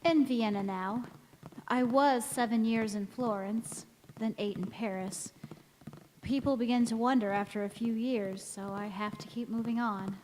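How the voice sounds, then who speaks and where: A wistful, ageless woman of vintage radio drama, acted by a woman, speaking earnestly in a fairly high voice. The sound is echoey, from a hall with faint background noise. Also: fairly even intonation, measured pace, darkish tone, lightly acted. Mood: yearning. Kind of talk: 1940s radio drama acting on stage.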